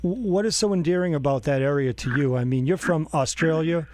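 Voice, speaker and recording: A man's voice: fairly high pitch, a little fast, darkish tone, dry recording. Man, radio host, rambling questions, laughs often, dry studio sound. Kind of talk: casual interview.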